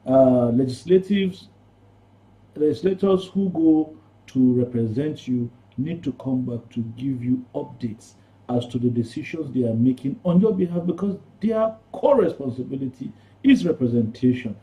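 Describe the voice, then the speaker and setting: A radio guest, a man with a medium-pitched voice. He explains points in measured, formal phrases, and the audio is a little dull. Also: lively intonation. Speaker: studio guest on good governance.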